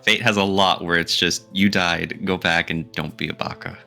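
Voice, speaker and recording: Bright, low-pitched male podcast co-host. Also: fairly even intonation, normal speed, gravelly, faint music behind. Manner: emphatic, playfully argumentative. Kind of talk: casual debate-style podcast chat.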